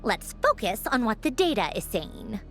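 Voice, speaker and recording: A woman's voice: fairly high pitch, big pitch swings, moderate pace, fairly bright tone, faint music behind. A woman voicing a composed, no-nonsense delegate in a video game. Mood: firm. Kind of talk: video game character acting.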